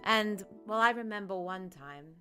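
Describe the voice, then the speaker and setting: A woman playing a calm, reassuring mother and wife in a vintage-style radio play. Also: medium pitch, unhurried, loud music behind the voice, natural voice. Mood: soothing. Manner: coaxing, reassuring. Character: soothing, sensible middle-aged mother and wife.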